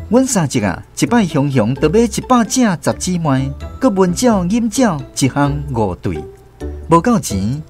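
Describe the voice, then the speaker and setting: Animated Taiwanese nostalgic male storyteller. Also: fairly high pitch. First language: Taiwanese Hokkien. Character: man fondly recalling his rural boyhood. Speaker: narrator telling his childhood story. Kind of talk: radio drama storytelling narration.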